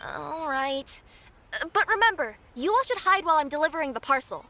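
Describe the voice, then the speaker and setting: Anime game cat-girl courier: young, very high, lively intonation, earnest, dutiful, easily flustered. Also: fairly quick, exaggerated voice. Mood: earnest, businesslike. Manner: earnest, emphatic, animated. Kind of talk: English anime game dub.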